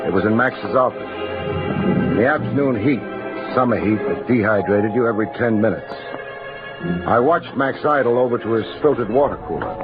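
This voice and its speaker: An actor playing a hard-boiled detective narrator in an old-time radio drama, wry, dogged and clipped. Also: male voice, medium pitch, normal speed, close to an everyday voice. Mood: wry, narrating. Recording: tinny old radio, music and engine noise.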